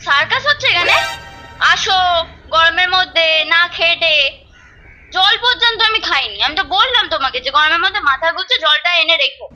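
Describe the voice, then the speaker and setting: A woman's voice: very high-pitched, lively intonation, fairly quick, bright, forceful tone, faint music behind. A woman on a phone call, irritated and complaining as she is pranked. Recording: phone line with background noise.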